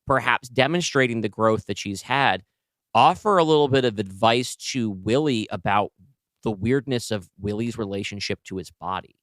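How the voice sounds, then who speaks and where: Lowish guy on a podcast, casual, kinda halting while he figures stuff out, dry close mic. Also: fairly bright tone, no echo. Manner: tentative, halting, feeling out ideas. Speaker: podcast co-host and writer. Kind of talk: casual brainstorming chat.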